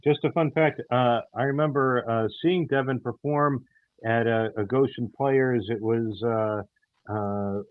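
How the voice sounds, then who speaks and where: A man with a mid-pitched voice chats anecdotally as an interview guest, halting and repeating himself often. The audio is narrow and phone-like, with no room sound. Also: steady tone, dry recording. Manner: halting, many stumbles and repeats. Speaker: interview guest, audio theater team member. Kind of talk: casual anecdotal chat. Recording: dull, phone-like call audio.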